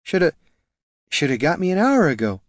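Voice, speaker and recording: A man's voice: mid-range voice, big pitch swings, a little slow, dry recording, studio quality, pitched above the performer's own voice. A man voicing a drunken female elf ranger for an audiobook, slurred, rambling and woozily insistent. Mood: drunkenly defensive.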